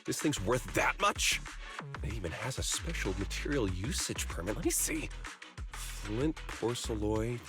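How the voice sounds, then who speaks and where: An actor voicing an inquisitive, expressive man appraising goods in a game cutscene. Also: lowish voice, measured pace, music in the background. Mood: surprised, skeptical. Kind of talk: casual game cutscene dialogue.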